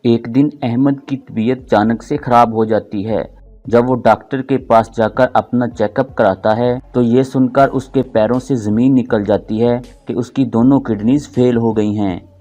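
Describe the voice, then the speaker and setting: A man's voice: medium pitch, steady tone, a little slow, warm tone, muffled recording, faint music behind. A man narrating a scripted moral story as storyteller.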